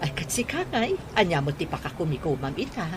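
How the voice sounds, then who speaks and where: Woman acting effusive former teacher, animated pitch, chatty, Ilocano accent, radio drama, slight traffic noise. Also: a little fast. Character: chatty, effusive former schoolteacher greeting an old pupil.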